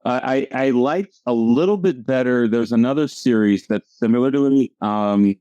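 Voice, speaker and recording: A man's voice: mid-range voice, normal speed, dark tone, dry recording. A man, a comic strip creator, chatting casually as an interview guest, rambling and often restarting his sentences. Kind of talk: casual conversation. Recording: dull lo-fi audio.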